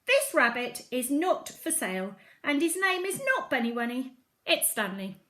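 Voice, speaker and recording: A woman's voice: high voice, big pitch swings, normal speed, sharp tone, full character voice. A woman voicing a firm, self-assured, matter-of-fact little girl in a children's storybook. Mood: firm, indignant. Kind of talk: children's storybook read-aloud.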